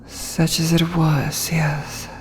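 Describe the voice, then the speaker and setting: A woman's voice: low voice, completely flat delivery, drawn-out words, a little hiss or hum. A woman voicing a tender, protective snake woman in a fantasy ASMR roleplay, coaxing and soothing, with a sly streak toward prey. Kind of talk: ASMR roleplay, scripted fantasy audio drama. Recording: long reverberant hall-like echo.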